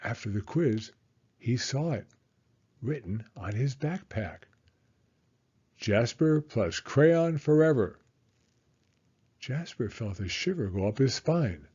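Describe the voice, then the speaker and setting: A man reading a children's story aloud in a theatrical, suspenseful way with drawn-out emphasis. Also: mid-range voice, expressive, darkish tone, husky, lo-fi sound.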